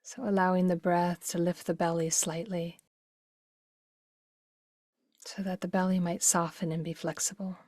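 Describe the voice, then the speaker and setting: A woman with a fairly low voice guides a meditation in a very dry recording. Her delivery is gentle and soothing, taking its time. Phrase endings soften into breath. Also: flat delivery, measured pace, long pauses, no echo.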